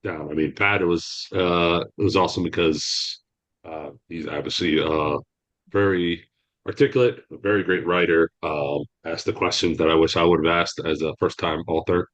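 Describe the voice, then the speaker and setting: A man talking as a guest author on a podcast in a rambling, halting way, with frequent restarts. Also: low voice, steady tone, fairly bright tone. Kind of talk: casual interview answers.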